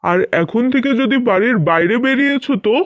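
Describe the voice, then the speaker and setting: A man's voice: very high-pitched, lively intonation, fairly quick, fairly bright tone, no room sound, muffled recording. A man playing a cruel, haughty young rich husband in a cartoon, speaking with contempt and threat. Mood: contemptuous, threatening. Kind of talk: scripted cartoon dubbing.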